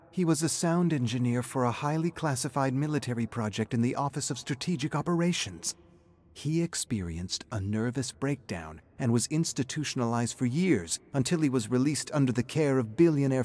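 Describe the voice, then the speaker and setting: A man's voice: mid-range voice, animated, moderate pace, darkish tone, voice pushed up, close to an everyday voice. A man voicing an insistent, probing young woman investigator in an audiobook story, with a pressing, questioning manner. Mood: urgent, revealing. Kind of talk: audiobook character acting.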